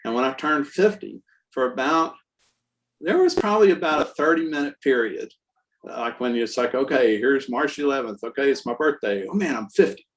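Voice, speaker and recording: Sixties man, loose easygoing storyteller, mid-pitched voice, talks around his point with fillers. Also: moderate pace, low-quality recording. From Texas. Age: 60s. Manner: rambling, relaxed storyteller with many fillers. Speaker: guest artist and musician. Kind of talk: casual conversational interview.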